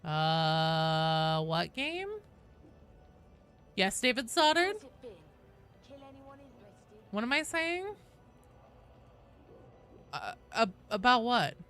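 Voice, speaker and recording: Bright, animated woman streaming games. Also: mid-range voice, very slow, long pauses, smooth, soft background music. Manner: playful, joking, sweary. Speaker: streamer hosting a game livestream with viewers. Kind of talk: casual livestream chat while gaming.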